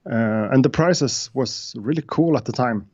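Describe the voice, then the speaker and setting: Brief: Swedish-accented man, non-native English speaker, halting guest talk, dull dry call audio. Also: medium pitch, darkish tone, dry recording. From Sweden. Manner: hesitant, many uhs and restarts. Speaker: guest beatmaker. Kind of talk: casual interview chat.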